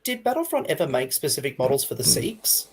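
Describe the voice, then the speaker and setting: A man's voice: fairly high pitch, big pitch swings, fairly quick, gravelly, husky. A man chatting casually as a panelist on a hobby livestream.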